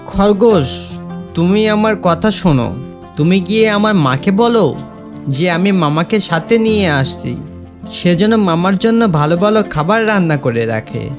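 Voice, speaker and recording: A man's voice: high voice, animated, unhurried, over music. A man playing a clever, scheming young village son in a cartoon moral tale, speaking earnestly and persuasively. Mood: sly, persuasive.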